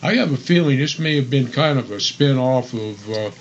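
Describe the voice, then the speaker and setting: An older man with a medium-pitched voice chats casually as co-host of a radio show. The sound is a bit dull and lo-fi. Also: animated, normal speed, a crowd in the background. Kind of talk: casual chat about old radio westerns.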